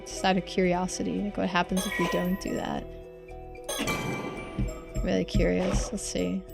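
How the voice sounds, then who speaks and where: A woman's voice: lowish voice, little pitch movement, moderate pace, gravelly, over loud music. A woman live streamer chatting casually as she plays through a game. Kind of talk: casual gaming commentary and chat.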